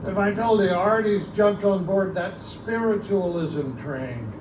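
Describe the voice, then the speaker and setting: A man's voice: sits high, a little slow. A man playing a folksy, wisecracking keeper of a remote outpost library, chatty and theatrical, in pulp storytelling style at a live show. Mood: amused, chatty. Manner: colloquial, chatty, theatrical, irreverent. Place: live in a bookstore. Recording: roomy distant live recording, loud background noise.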